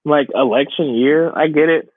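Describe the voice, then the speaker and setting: A man's voice: fairly high pitch, normal speed, breathy edge, no room sound. A man co-hosting a podcast, talking casually and colloquially with emphatic repetition, recorded remotely over the internet. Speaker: podcast co-host. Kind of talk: casual conversational podcast chat. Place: remote, away from studio. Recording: tinny, phone-like internet call audio.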